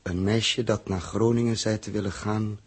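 Male actor for sombre captain in sixties sci-fi radio drama; lowish voice, terse and halting, faintly noisy vintage sound. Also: fairly even intonation, natural voice. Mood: reluctant, sombre. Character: grave, reluctant spaceship captain. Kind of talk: 1960s radio drama acting. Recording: noisy old radio recording.

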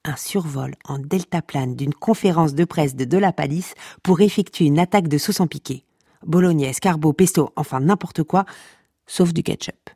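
An actress playing a droll narrator of a comic saga, wry and mock-solemn, speaking straight to the listener. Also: female voice, deep voice, moderate pace, dark tone, breathy edge, no echo, clean studio sound. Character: droll, mock-epic female storyteller of a pasta-cult saga.

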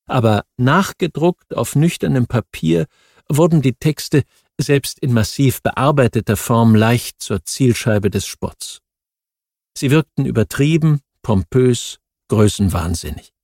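A man reads non-fiction history as a scripted audiobook, measured and articulate. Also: medium pitch, darkish tone, breathy edge, no room sound, clean studio sound. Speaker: audiobook narrator.

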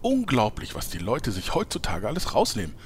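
A man's voice: mid-range voice, huge pitch swings, fairly quick, a little hiss or hum. A man playing an oblivious, self-important eccentric gamer in a comedy audio play, with a pompous, theatrical delivery. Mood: sympathetic grumbling.

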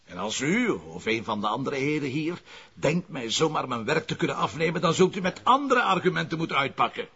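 Gravelly, stubborn Flemish police commissioner. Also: male voice, a little high, big pitch swings, fairly quick, pushed and bright, full character voice. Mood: defiant. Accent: Flemish Belgian. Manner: blunt, dry, sarcastic. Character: stubborn, sardonic middle-aged male police commissioner. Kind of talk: TV crime drama acting. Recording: muffled audio with faint noise.

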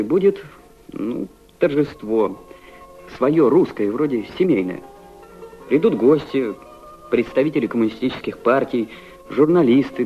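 Actor as courteous Soviet man, mid-pitched, halting and tender, radio play, dull sound, faint music. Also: lightly acted. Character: shy, courteous, warm-hearted Soviet delegation man. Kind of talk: Soviet radio drama acting. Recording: dull lo-fi recording, music behind.

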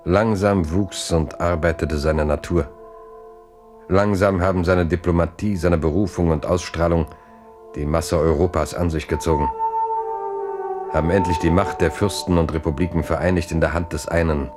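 Low-voiced solemn male narrator. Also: fairly even intonation, normal speed, loud music behind the voice. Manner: formal, solemn, chronicle-like. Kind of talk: scripted literary narration in a radio play.

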